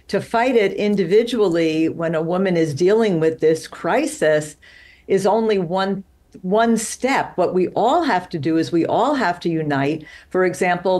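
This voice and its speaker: A woman's voice: fairly low pitch, a little mellow. A woman representing an advocacy group on a live panel over a video call from her living room, earnest and rallying.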